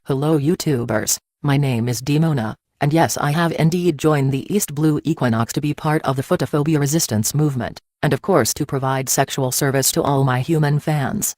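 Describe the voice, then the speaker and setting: A woman's voice: low voice, moderate pace, few pauses, a little mellow, no echo, studio quality. A woman voicing a boastful female gargoyle warrior in a cartoon-style video, with a brash, teasing delivery.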